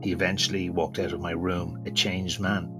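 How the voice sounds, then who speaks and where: A man who works as a therapist, speaking in a calm, measured way and asking reflective questions as a podcast co-host. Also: deep voice, music in the background.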